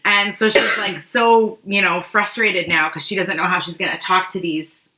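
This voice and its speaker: A woman co-hosting a book club podcast, retelling a story in a casual, animated way with frequent "like". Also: on the low side, normal speed, rough recording.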